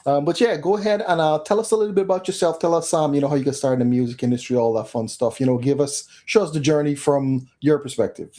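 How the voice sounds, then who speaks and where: A man's voice: on the high side, quick pace, few pauses, warm tone. A man hosting a live talk show, making announcements and welcoming a guest in an upbeat way with frequent fillers. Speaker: show host.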